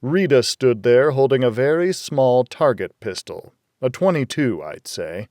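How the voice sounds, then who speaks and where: A man's voice: medium pitch, animated, measured pace, darkish tone, dry recording. Dry-recorded man narrating a hardboiled thriller. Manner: wry, hardboiled storytelling. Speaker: audiobook narrator. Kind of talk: scripted first-person noir thriller narration.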